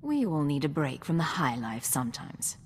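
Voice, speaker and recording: A composed female agent, speaks in a measured, slightly low voice. She is cool and dryly witty, as in game cutscene dialogue. Music sits behind her, with a long reverb on the voice. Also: sing-song. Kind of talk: video game voice acting.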